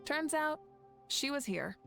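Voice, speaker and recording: Assured, higher-voiced leader. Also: female voice, big pitch swings, gravelly, a bit bright, soft background music, full character voice. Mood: calm, frank. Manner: measured, assured, lightly wry. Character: composed, righteous woman leader with a playful side. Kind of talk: video game voice acting.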